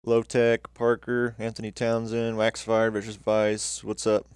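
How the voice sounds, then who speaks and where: Casting: young male streamer, relaxed, cursing, low voice, dry mic. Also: measured pace, smooth, no room sound. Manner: laid-back, swears casually. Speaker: livestream host. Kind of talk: casual livestream chat reacting to videos.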